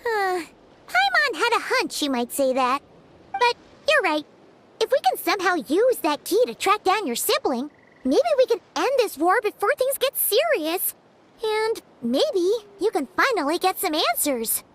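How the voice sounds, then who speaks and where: A woman voicing a tiny, childlike, chatty fairy companion in a video game, with an expressive, excitable delivery. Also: very high voice, a little slow, a little hiss or hum, heavily acted. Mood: earnest, hopeful. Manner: refers to self in third person, expressive. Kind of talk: animated video game voice acting. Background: music.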